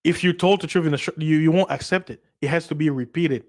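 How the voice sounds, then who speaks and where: A man from Central Africa, a native Lingala speaker, talks to his livestream viewers with a slightly higher pitch than most men. He repeats points over and over, breaks off mid-word to restart, and keeps throwing rhetorical questions at the listener. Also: fast talker, a little mellow. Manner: repetitive and emphatic, many restarts and rhetorical questions. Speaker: livestream host. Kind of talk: casual livestream monologue.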